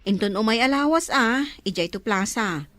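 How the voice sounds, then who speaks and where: A woman playing a brisk, firm village official with an Ilocano accent in a comedy drama. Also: on the high side, lively intonation, a bit bright. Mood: businesslike.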